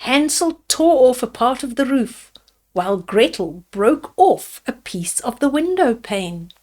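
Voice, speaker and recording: A woman storyteller reading a fairy tale aloud to children, deliberately, with simple asides to her young listeners. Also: on the high side, sing-song, a little mellow. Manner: deliberate, addresses children with simple questions and comments.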